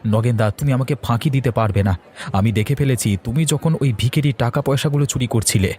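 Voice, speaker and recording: A man's voice: medium pitch, a little fast, darkish tone, lightly acted. A man playing a righteous, stubborn young villager in a cartoon folk tale, speaking earnestly and emphatically. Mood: accusing. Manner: accusing, emphatic.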